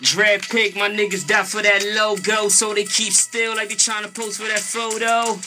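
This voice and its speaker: High-voiced brash male rapper freestyling. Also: little pitch movement, moderate pace, over music. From Harlem, New York. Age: young adult. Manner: brash, boastful, streetwise. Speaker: guest rapper. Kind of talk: freestyle rap over a beat. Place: small radio studio. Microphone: close studio boom microphone. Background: hip-hop beat playing.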